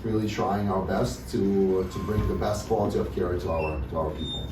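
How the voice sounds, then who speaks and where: A man's voice: sits low, steady tone, moderate pace, music in the background. A man from Russia who works as a dentist, answering interview questions casually with many fillers and restarts. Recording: echoey room, mic at a distance, background noise.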